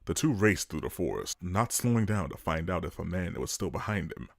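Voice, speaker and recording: Man, dramatic scripted narration, deep voice, expressive pitch movement, dry recording. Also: brisk, creaky, dry recording.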